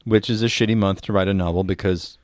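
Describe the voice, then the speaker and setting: A man's voice: low voice, fairly even intonation, fast, darkish tone, no room sound, clean studio sound. A man co-hosting a podcast in casual comedic talk, explaining things in a wry, opinionated way. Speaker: podcast co-host.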